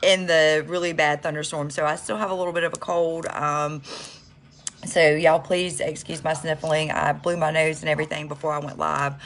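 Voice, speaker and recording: A woman's voice: deep voice, little pitch movement, moderate pace. A woman chatting on a makeup livestream, stuffy from a cold, with lots of ums as she talks to viewers. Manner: chatty, many ums, addresses viewers as y'all. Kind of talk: casual get-ready-with-me livestream.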